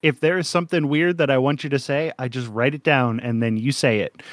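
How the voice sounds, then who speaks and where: A man's voice: medium pitch, steady tone, fairly quick, slight background noise. A young man co-hosting a podcast in casual chat, jokey and rambling, laughing often. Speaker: podcast co-host.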